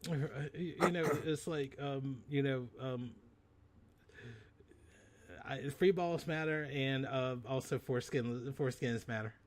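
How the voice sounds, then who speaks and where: A man co-hosting a podcast in casual, bawdy chat, telling stories in a sassy, animated way. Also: mid-range voice, unhurried, slight background noise. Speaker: podcast co-host.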